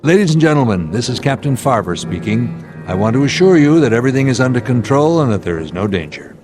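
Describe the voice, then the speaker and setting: An actor playing a calm, commanding airline captain in an old-time radio drama style, speaking in clipped sentences. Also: male voice, mid-range voice, fast talker, close to an everyday voice. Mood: calm, official. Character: steady, authoritative male airline captain. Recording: dramatic music underneath.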